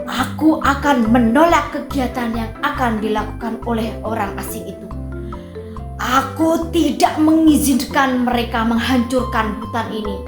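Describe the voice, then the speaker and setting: A grumpy, defiant storybook tiger, voiced by a woman. It speaks slowly in a high voice, with a roomy echo and faint music behind. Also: lightly acted.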